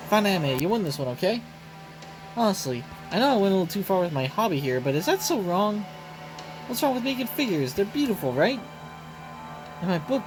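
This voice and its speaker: A woman voicing a haughty, sarcastic young female villain in an anime game, cool and sardonic but quick to indignation. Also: on the low side, lightly acted, low-quality recording. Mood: indignant, wounded. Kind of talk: voiced reading of anime game dialogue. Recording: loud game music behind voice.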